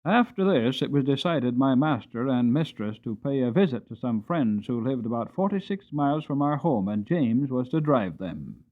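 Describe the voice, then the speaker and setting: A man narrating an audiobook in a dramatic storytelling style, with expressive, theatrical emphasis. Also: mid-range voice, normal speed, few pauses, phone-quality sound.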